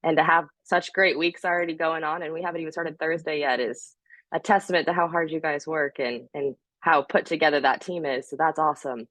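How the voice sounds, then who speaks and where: A woman in her thirties, slightly hoarse, giving an upbeat, chatty talk as a guest speaker on a team video call. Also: deep voice, fairly even intonation, brisk, fairly bright tone, no echo. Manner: upbeat, chatty, many fillers. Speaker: guest speaker, top sales producer.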